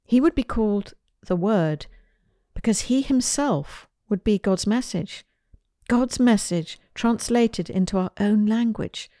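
A woman hosting a show, delivering a scripted, earnest introduction in a measured way. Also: medium pitch, warm tone, no echo, studio quality. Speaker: host.

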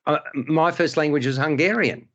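A man's voice: fairly high pitch, moderate pace, slightly rough, dull audio. A male podcast host and film critic, chatting casually and reviewing films with many restarts. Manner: stammering restarts, animated.

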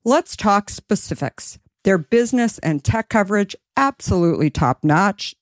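A woman's voice: no room sound, studio quality. A woman podcast host reading sponsor ads in an upbeat, persuasive way. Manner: upbeat, persuasive sales pitch. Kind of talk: read ad.

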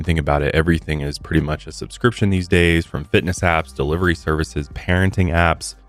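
A man hosting a podcast, talking in a casual, conversational way. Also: extremely low pitch. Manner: conversational, informal. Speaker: podcast host. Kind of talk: casual podcast commentary and sponsor read.

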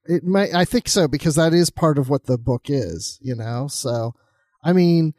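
A man with a somewhat high voice talks casually as a podcast co-host. He sounds conversational, in a very dry recording with no audible room. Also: lively intonation, moderate pace, a little mellow, no echo. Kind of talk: casual panel discussion.

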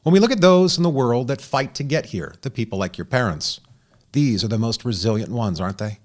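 A man's voice: mid-range voice, fairly quick. Earnest man hosting a studio interview. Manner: earnest, animated questioning with restarts. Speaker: host. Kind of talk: studio interview, reading a guest bio.

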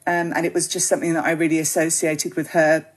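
Guest spot: British woman, low voice, chatty and meandering. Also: level tone, quick pace. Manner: chatty, rambling with many ums and you knows. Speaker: podcast guest, gin writer. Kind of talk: relaxed interview chat.

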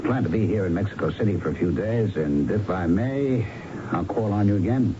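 An actor playing a casually persistent American investigator in a radio drama, easing into pointed questions with hesitant lead-ins. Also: male voice, on the low side, brisk, natural voice. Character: probing, casually persistent California patrol investigator. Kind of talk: radio drama acting with narration. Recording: dull, noisy 1970s radio broadcast recording.

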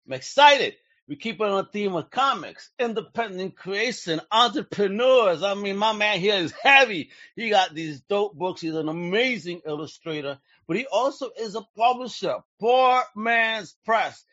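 A man's voice: sits high, fairly bright tone, no room sound. A middle-aged man hosting a podcast in casual, slangy, hyped-up chat, sprinkling in Spanish greetings. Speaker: podcast host. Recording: dull lo-fi sound.

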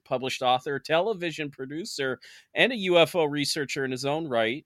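A man's voice: fairly high pitch, fairly bright tone, no echo, studio quality. A man hosting a podcast in a casual, conversational style. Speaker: podcast host.

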